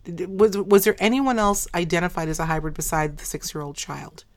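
A woman hosting an interview, hoarse at the end of a long day, who probes her guest and restates what she hears. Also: fairly low pitch, fairly even intonation, brisk. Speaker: podcast host. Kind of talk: conversational interview.

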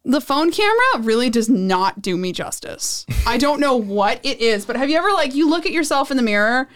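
Woman, higher voice, smooth and clear, casual podcast guest, laid-back, frank. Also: very animated, a bit bright. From Puerto Rico. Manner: frank, laid-back, spells things out slowly. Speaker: guest model.

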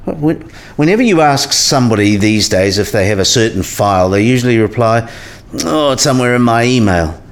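Guy doing a laid-back know-it-all professor, medium pitch, dry and teasing, radio play dialogue. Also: expressive, a little fast, lightly acted. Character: relaxed, teasing male professor, know-it-all and dryly witty.

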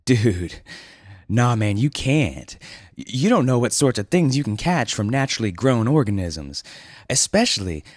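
A man voicing an excitable male office worker who talks in loud, eager surfer slang. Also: medium pitch, a little slow, dry recording, clean studio sound, voice pushed up. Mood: alarmed.

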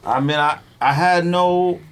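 A man chatting casually about sneakers in an animated, emphatic, slangy way, like a podcast co-host. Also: on the high side, unhurried, clean tone. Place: podcast studio.

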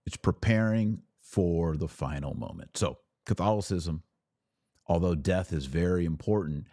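A man hosting a podcast, giving a religious teaching monologue in an emphatic, deliberate way with dramatic pauses and rhetorical questions. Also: low voice, dark tone, no echo, clean studio sound. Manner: didactic, preacher-like rhetorical questions. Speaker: podcast host.

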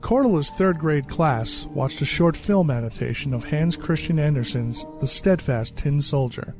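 A man's voice: on the high side, lively intonation, moderate pace, darkish tone. A man narrating a short story with wry, arch asides, with faint music behind him.

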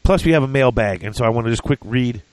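A man's voice: medium pitch, lively intonation, fast talker, a little mellow, smooth, dry recording, rough recording. Casting note: congested male podcast host, chatty, dry close sound. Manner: chatty, self-deprecating, with fillers and restarts. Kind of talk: casual podcast chat.

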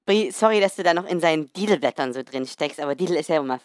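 A man's voice: fairly high pitch, lively intonation, quick pace, no room sound, clean studio sound, natural voice. A man voicing a snotty, dismissive schoolgirl acting cool in an improvised comedy audio play, scornful and offhand.

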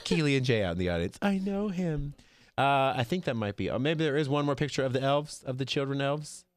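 A man with a hoarse voice hosting a casual studio talk show, chatting and laughing often. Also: mid-range voice, expressive, brisk, dry recording. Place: studio with a small live audience. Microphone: mic'd up in studio.

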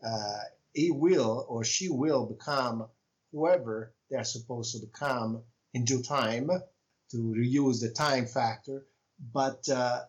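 A man with an Italian accent, speaking as an author being interviewed on a podcast, talking in a reflective, measured way with frequent pauses. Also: medium pitch, dull audio. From Italy. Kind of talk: conversational interview.

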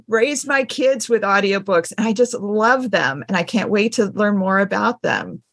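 A woman's voice: mid-range voice, lively intonation, a little slow, creaky, a bit bright, no room sound. A woman speaking as the host of an interview podcast, introducing and questioning a guest.

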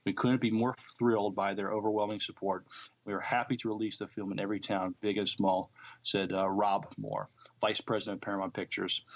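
Man, lowish voice, podcast co-host, entertainment news reading and chat, slightly halting delivery.

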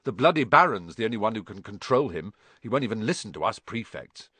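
A man's voice: lowish voice, big pitch swings, fairly quick, sharp tone, husky, no room sound, full character voice. Brief: male reader voicing an officious teen prefect, stern and clipped, dry sound. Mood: bossy. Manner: stern and commanding. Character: bossy officious teenage boy prefect.

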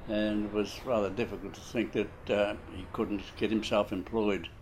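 A man in his nineties with a New Zealand accent, recalling his life in an interview, slow and halting with many fillers. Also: fairly low pitch, steady tone, a little hiss or hum, poor audio. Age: 90s. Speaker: interviewee, war veteran. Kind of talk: casual reminiscing interview.

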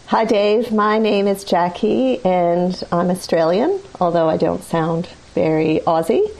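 A woman speaks in a very low, mellow voice with heavy vocal fry. She explains steadily and earnestly, as a guest in conversation. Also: lively intonation, a little slow, rough recording. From North America. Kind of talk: conversational testimony.

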